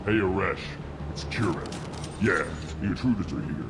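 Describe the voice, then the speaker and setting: An actor playing a mercenary man who haggles over pay, gruff and businesslike. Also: deep voice, normal speed, over loud music. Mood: haggling. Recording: game score loud under the voice.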